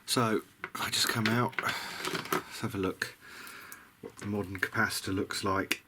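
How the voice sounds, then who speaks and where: A man's voice: on the low side, steady tone, measured pace, background noise. A man giving a casual workbench commentary as a hobbyist repairer, musing and hesitant as he thinks aloud. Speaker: hobbyist radio repairer presenting a restoration.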